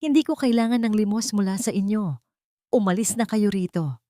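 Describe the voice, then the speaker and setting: A woman's voice: medium pitch, a little fast, mellow, no echo, clean studio sound, voice pushed up, close to an everyday voice. A woman narrator voicing a proud, wounded older sister in an audio story, firm and defiant. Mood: quietly firm.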